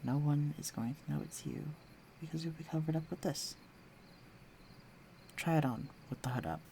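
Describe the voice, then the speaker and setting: A man playing a confident, flirtatious fantasy villain with a protective streak, speaking intimately to the listener in an unhurried, teasing way in an audio drama. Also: a little high, vocal fry. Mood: coaxing, warm. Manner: teasing, self-assured, unhurried, dropping into hushed near-whispers. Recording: faint noise, night crickets and insects. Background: night ambience with crickets.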